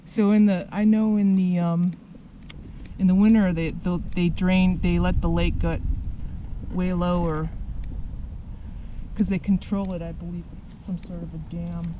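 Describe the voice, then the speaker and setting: A middle-aged woman speaks at an unhurried, relaxed pace, pausing and restarting as she explains things on a hike. She is recorded outdoors on a handheld camera. Clear insect and cricket noise fills the background. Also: on the low side. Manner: chatty, halting, with fillers and restarts. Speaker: vlogger on a hike. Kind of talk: casual outdoor chat. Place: outdoors by a lake and on wooded trails. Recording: outdoor camera audio, insects and breeze behind.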